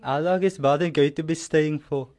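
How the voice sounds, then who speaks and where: Radio drama: laid-back young character played by a woman, South African accent, lower-pitched, casual and slangy. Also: steady tone, moderate pace, darkish tone, close to an everyday voice. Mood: mildly reluctant. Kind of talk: amateur radio drama acting.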